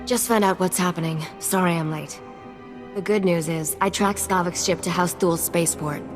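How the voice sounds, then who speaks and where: An actress playing a shrewd smuggler's partner in a video game, with a matter-of-fact, businesslike, wry manner. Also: female voice, on the low side, fairly even intonation, moderate pace, natural voice. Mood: brisk briefing. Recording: music behind the voice.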